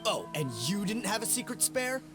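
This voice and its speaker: A man's voice: high-pitched, sing-song, normal speed. An actor playing a hot-tempered male ex-convict who snaps sarcastic accusations at his partners, over game music. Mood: bitter, angry.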